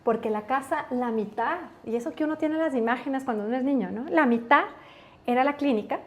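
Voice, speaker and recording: A woman's voice: high-pitched, brisk. Ecuadorian woman telling family stories. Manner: relaxed, anecdotal, thinks aloud. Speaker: guest, company executive. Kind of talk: casual interview storytelling.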